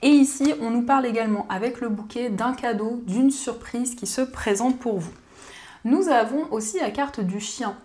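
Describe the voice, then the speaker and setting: A woman giving a gentle, soothing daily card reading, slightly hoarse from a cold. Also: on the high side, moderate pace. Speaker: card reader.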